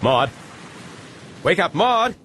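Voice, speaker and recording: A man acting an excitable, determined castaway in a period audio drama, with emphatic, old-fashioned formal diction. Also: sits high, slow pace, exaggerated voice. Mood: eager, brisk. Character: excitable, determined male castaway, courteous but self-reproaching. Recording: clear noise behind the voice, outdoor ambience.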